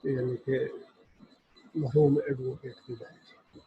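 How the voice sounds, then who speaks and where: A man with a Pakistani accent giving an explanatory law lecture, reading passages out deliberately, phrase by phrase, and explaining them. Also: mid-range voice, level tone, breathy edge. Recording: dull, lo-fi audio.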